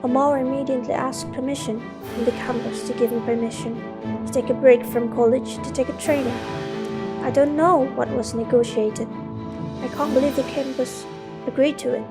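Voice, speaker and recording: A woman's voice: sits high, steady tone, normal speed. A young woman with an Indonesian accent playing a sincere, newly recruited student in an amateur radio drama. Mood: reflective. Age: young adult. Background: loud music.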